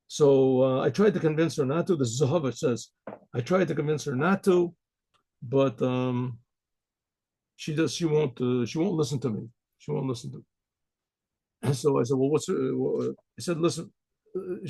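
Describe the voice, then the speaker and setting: A rabbi with an American accent telling a personal story in a halting, casual way, with many fillers, restarts and frequent throat clearing. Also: male voice, fairly high pitch, dark tone. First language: English.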